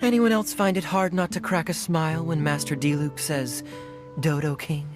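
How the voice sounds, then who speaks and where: A man playing a suave young adventurer in a video game, sly and playfully sardonic, with a smooth theatrical manner. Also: a little high, fairly even intonation, normal speed, lightly acted. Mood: teasing. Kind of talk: video game cutscene acting. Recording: music playing behind the voice.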